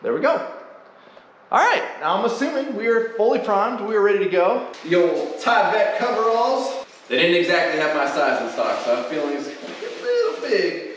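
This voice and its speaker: A young man vlogging his home renovation in a casual, excited, slangy way, filmed on a handheld action camera. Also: high-pitched, moderate pace, noisy background. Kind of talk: casual how-to vlog. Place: large empty unfinished room indoors. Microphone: action camera held at arm's length. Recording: echoey bare room.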